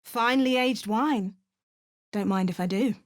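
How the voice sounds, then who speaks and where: An actress playing a wry, curious spellcasting adventurer in a fantasy video game, with a measured, storylike delivery. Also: female voice, a little high, no room sound, studio quality, close to an everyday voice. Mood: wry, musing. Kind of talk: video game character voice lines.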